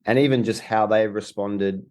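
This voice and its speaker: A man's voice: lowish voice, fairly even intonation, normal speed, a little mellow. A young man, a documentary co-director, answering interview questions in a rambling way with many restarts. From Australia.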